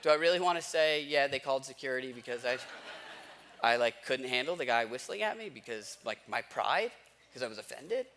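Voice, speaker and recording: A man's voice: medium pitch, animated, a little fast, fairly bright tone. An American man in his thirties preaching a conversational sermon, telling personal stories in everyday slang and posing rhetorical questions. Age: 30s. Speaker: pastor preaching.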